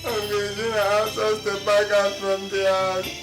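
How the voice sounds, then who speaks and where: A sorrowful mourner, played by an actor in a film drama. The delivery is halting and plaintive with little pitch movement. The voice sits in a roomy echo over music. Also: little pitch movement, lightly acted. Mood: sad. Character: sorrowful grown child mourning a dead father. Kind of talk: subdued film drama monologue.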